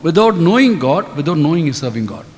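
Male preacher, very slow, stop-start lines for an interpreter, stand mic, long reverb in a big tent. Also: fairly high pitch, sing-song, a little mellow. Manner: short phrases, pausing for the interpreter. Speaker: guest preacher. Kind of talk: sermon in English with live interpretation. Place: large open-air convention tent. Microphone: stand mic at podium.

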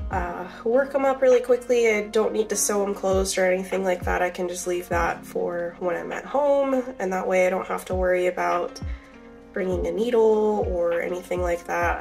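Young southern girl vlogging, stuffy nose, rambly with lots of ums, faint music behind. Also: medium pitch. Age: young adult. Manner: chatty, rambling, many fillers. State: congested from a cold. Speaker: crochet vlogger. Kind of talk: casual vlog chat. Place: small bedroom at home.

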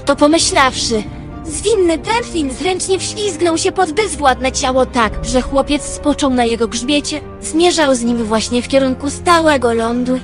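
A woman plays a wistful dreamer telling a tale in a radio play. Her fairly high voice narrates expressively in literary style over prominent music. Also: lightly acted. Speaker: storyteller. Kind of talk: scripted audio drama narration.

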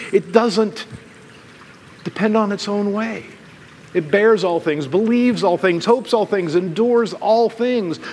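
High-pitched American male preacher in reverberant hall. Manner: deliberate, emphatic phrasing with rhetorical pauses and repetition. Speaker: pastor. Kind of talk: sermon. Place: church room with stained glass windows, indoors. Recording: long hall reverb, some background noise.

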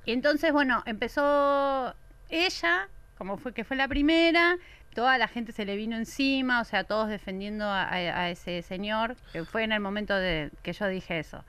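A woman in her thirties with an Argentine accent, a guest in a casual studio podcast chat, talking emphatically with drawn-out pauses. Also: on the high side, a bit bright, clear, resonant tone. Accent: Argentine Spanish. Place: small bright studio. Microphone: desk mic on stand.

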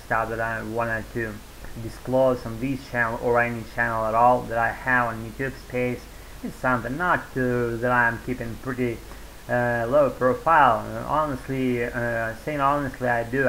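A man's voice: mid-range voice, sharp tone, a little hiss or hum, poor audio. A man in his forties who is a native Russian speaker, talking in a rambling casual vlog to his webcam at home, full of fillers and self-corrections, with a sore throat. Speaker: vlogger. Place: small room at home, indoors. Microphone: webcam at desk.

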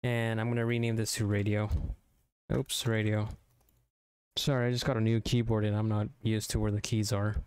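A man narrating a software tutorial informally, thinking aloud step by step into a close mic. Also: on the low side, little pitch movement, normal speed, clean tone, dry recording. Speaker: tutorial presenter.

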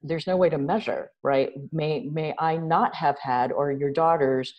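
Low-voiced New woman, animated storyteller. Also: measured pace, muffled recording. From New York City. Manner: animated storyteller, gestures a lot, laughs. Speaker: guest, social entrepreneur. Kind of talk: casual interview chat. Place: home room indoors. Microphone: video call webcam.

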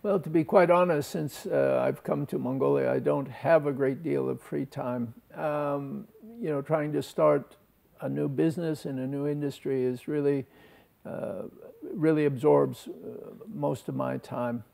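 An older man in his sixties with a heavily creaky voice, a little higher than most men. He answers interview questions thoughtfully and haltingly, often restarting a word. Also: steady tone, darkish tone. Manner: halting, hesitant, with repeated word starts. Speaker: talk show guest. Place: TV talk show studio. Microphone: lapel.

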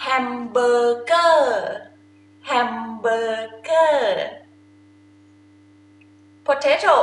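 Young woman, Thai accent, fairly high pitch, informal lesson delivery, simple explanations, roomy sound with faint noise. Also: fairly bright tone. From Thailand. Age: young adult. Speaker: language teacher. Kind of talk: instructional lesson to camera. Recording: echoey room with faint noise.

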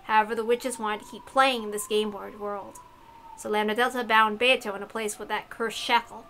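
Bright-voiced woman reading a game, noisy recording. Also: mid-range voice, steady tone, moderate pace. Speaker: streamer reading a visual novel aloud. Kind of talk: casual commentary on a game read-aloud.

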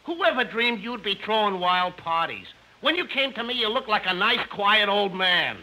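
An actor playing an indignant, scolding landlord in 1950s radio comedy. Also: male voice, sits high, expressive, moderate pace, bright, forceful tone, heavily acted, poor audio. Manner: exasperated, scolding. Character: indignant male landlord complaining about noisy parties. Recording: hissy 1950s radio broadcast recording.

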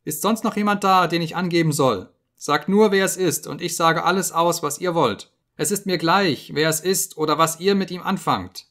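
Man doing a terrified male prisoner, high shrill screaming, loud frantic begging, storybook reading. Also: moderate pace, hardly any pauses. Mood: desperate.

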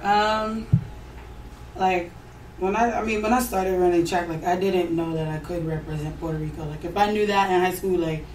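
Young woman, casual and loose, lots of filler words, lower pitch, echoey room. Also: moderate pace, far from the mic, a little hiss or hum. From United States. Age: young adult. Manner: relaxed, loose, many fillers and restarts. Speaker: interview guest, pro hurdler. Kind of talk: casual interview answers. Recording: roomy sound from off mic with faint ambience.

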